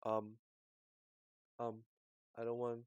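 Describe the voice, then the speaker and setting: A man's voice: mid-range voice, monotone, takes it slowly, a little mellow, clear, resonant tone, no room sound, lo-fi sound. Rambling, hesitant male game streamer. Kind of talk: casual livestream chat over a visual novel. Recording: music behind the voice. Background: game music.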